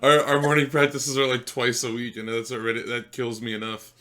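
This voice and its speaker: A man's voice: mid-range voice, level tone, fairly quick, a bit bright. A young man hosting a podcast and asking casual interview questions.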